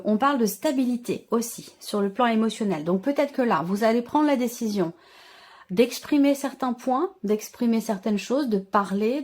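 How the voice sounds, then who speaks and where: A woman giving an unscripted tarot reading to viewers, feeling her way through sentences and often rephrasing. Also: fairly high pitch. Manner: hesitant phrasing, circles back and rephrases. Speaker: tarot reader.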